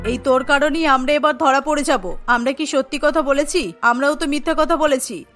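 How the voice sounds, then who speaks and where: A woman's voice: sits high, moderate pace, fairly bright tone, faint music behind, pitched below the performer's own voice. A woman voicing a bossy, coaxing middle-aged mother-in-law in a Bengali cartoon. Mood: scolding. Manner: commanding, coaxing, talks down to family.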